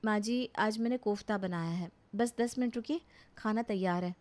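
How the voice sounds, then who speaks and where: A woman's voice: medium pitch, normal speed, a little mellow, lightly acted. A woman playing a dutiful, put-upon young city daughter-in-law in a cartoon family story, polite, earnest and a little plaintive. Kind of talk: Hindi animated family story acting.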